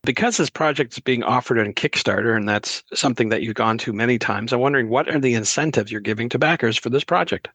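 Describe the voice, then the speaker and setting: Fast-talking, husky male interviewer. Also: medium pitch, a bit bright. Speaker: podcast host, interviewer. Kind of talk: conversational interview questions.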